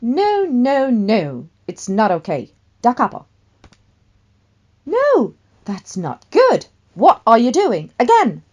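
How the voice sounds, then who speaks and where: A woman's voice: on the high side, wildly swooping pitch, takes it slowly, a little mellow, pitched above the performer's own voice, exaggerated voice. A woman playing a vain, hot-tempered famous actress in a radio play, with a melodramatic, haughty manner. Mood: impatient, scolding. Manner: melodramatic, haughty, swinging from gushing to scolding. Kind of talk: one-sided radio play dialogue.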